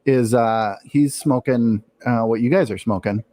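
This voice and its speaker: A man's voice: medium pitch, measured pace, dark tone. A man hosting a live show, asking questions in a casual, conversational interview style. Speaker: show host.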